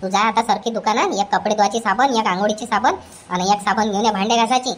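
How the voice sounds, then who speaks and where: A woman voicing a nagging, scolding old mother-in-law in a cartoon comedy, with a rapid ranting way of talking. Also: medium pitch, close to an everyday voice.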